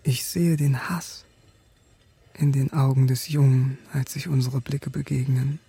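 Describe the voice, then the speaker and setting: A man's voice: medium pitch, little pitch movement, unhurried, creaky, mellow, slightly rough, exaggerated voice. A man acting in a radio drama as an embittered, defiant German army sergeant who narrates his own fate with clipped intensity. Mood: grave, brooding. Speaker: lead actor in radio drama. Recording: music behind the voice.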